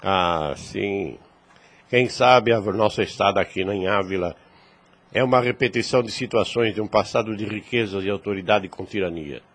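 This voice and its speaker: A man's voice: on the low side, normal speed, breathy edge. An actor playing a wise, fatherly priest in a radio drama, solemn and preachy, in formal archaic phrasing.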